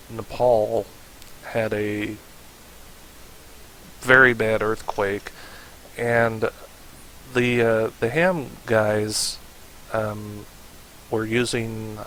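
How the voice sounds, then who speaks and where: A man narrating an unscripted software tutorial in an informal, hesitant way, as if thinking aloud. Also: fairly low pitch, level tone, very slow, long pauses, low-quality recording. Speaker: tutorial presenter. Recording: hissy home voice-over recording.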